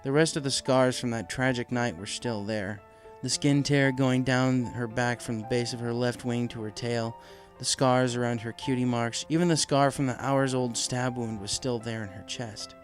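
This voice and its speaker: Casting: male audiobook narrator, mid-pitched voice, scripted fan fiction reading with music underneath. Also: fairly even intonation, moderate pace, few pauses.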